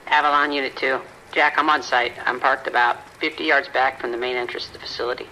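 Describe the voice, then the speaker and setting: Man playing tense, sharp-voiced patrol deputy. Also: medium pitch, steady tone, quick pace, a little hiss or hum, rough recording. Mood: uneasy. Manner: terse radio reports, tense. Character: male patrol deputy, uneasy, bewildered, obedient. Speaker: police deputy. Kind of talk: audio drama acting. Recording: radio calls with rain behind.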